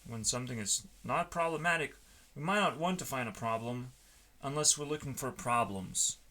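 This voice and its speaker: A man rambling casually in a halting, musing way, often trailing off, as if talking through his work on a stream. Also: mid-range voice, bright, forceful tone. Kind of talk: rambling casual chat while coding. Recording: noticeable background noise, keyboard clicks.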